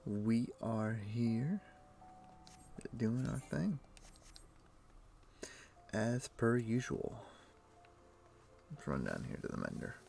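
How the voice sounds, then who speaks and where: A tired man streaming a game, casually greeting his chat in a relaxed way. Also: mid-range voice, drawn-out words, gravelly, long pauses, slight background noise.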